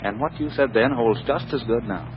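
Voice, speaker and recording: A man's voice: mid-range voice, normal speed, lightly acted. A man acting as a brash, glib young fiancé who schemes and persuades, in 1940s radio style. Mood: smooth persuasion. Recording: dull, noisy 1940s radio recording.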